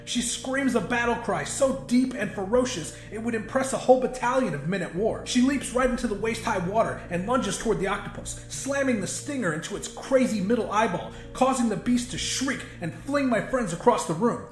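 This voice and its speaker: A man narrates a horror tale in a high-pitched voice. His delivery is dramatic and intense, told in the first person. The recording has a roomy echo, with music clearly playing behind him. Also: expressive, a little fast, few pauses. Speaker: audiobook narrator.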